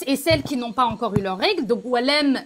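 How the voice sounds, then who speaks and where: Halting woman, slightly high voice. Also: expressive, fairly bright tone, a little hiss or hum. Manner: halting, many fillers, quoting religious texts. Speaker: debate guest. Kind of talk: heated live debate.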